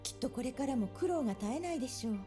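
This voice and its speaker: Young visual novel nurse: high, quick, very animated, polite yet mischievous, music behind. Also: female voice. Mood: gentle. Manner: polite, expressive. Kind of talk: Japanese visual novel voice acting. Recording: game audio with music behind. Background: game music.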